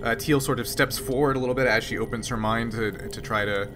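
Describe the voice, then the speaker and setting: A man at a mid pitch guides players through the rules and describes the scene as game master. He talks in halting bursts full of fillers and restarts. Clear music plays underneath. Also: steady tone. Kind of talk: running and narrating a tabletop game.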